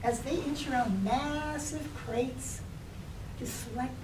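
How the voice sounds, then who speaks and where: A woman poet reading her own work to an audience, phrasing it in a measured, deliberate way. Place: public library reading room. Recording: roomy, distant mic, noisy with rumble.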